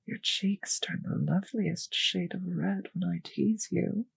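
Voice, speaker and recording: A woman playing a possessive, teasing vampire mistress in an intimate roleplay audio drama, speaking slowly and condescendingly with a breathy voice that often sinks to a whisper. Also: mid-range voice, fairly even intonation, dry recording, full character voice.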